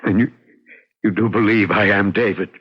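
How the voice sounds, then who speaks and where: A man playing a grave, haunted middle-aged husband in an old-time radio drama, deliberate, intense and pleading. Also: steady tone. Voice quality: husky, deep. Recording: muffled old radio recording.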